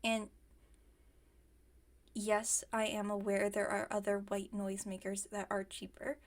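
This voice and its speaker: A woman playing a playfully defensive, stubborn villain inventor in an ASMR roleplay, chatting and teasing with mock innocence. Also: medium pitch. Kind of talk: ASMR roleplay, one-sided conversational acting.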